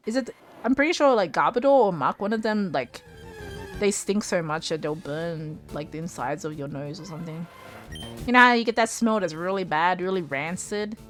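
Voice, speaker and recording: A woman streaming a game live, rambling and giggling with her viewers while she tires and starts losing her voice. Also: on the low side. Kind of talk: casual live-stream chat while gaming.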